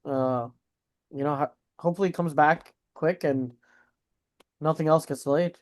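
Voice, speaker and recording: Higher-voiced man chatting in slang. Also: fairly even intonation, moderate pace. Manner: slangy, informal, swears mildly. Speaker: podcast co-host. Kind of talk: casual chat, reacting to news.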